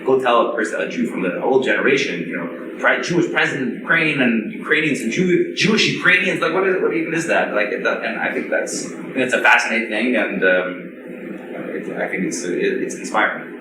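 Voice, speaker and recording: A man on a discussion panel, speaking casually and haltingly with many restarts and fillers. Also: medium pitch, sing-song. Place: large room with an audience. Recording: echoey room mic from far off, crowd. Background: crowd murmur.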